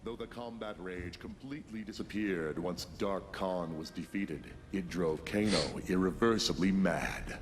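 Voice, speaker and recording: A man's voice: low-pitched, measured pace, few pauses, slight background noise. An actor voicing a grave, solemn male narrator telling dramatic superhero tales in a fighting video game. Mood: ominous, solemn. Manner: ominous, solemn.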